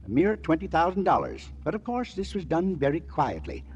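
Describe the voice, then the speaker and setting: An actor playing a quiet, fussy little museum official, stiff, hesitant and evasive, in 1940s radio style. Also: male voice, a little high, wildly swooping pitch, a little fast, lo-fi sound, slight background noise. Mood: evasive, uneasy.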